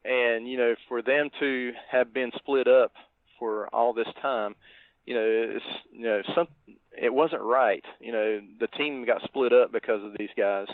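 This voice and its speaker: A man's voice: medium pitch, unhurried, no room sound. A man co-hosting a podcast, chatting easily about a film and leaning on lots of fillers. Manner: easygoing, full of "you know" fillers. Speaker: podcast cohost. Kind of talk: casual film review chat. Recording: dry, tinny phone-like line.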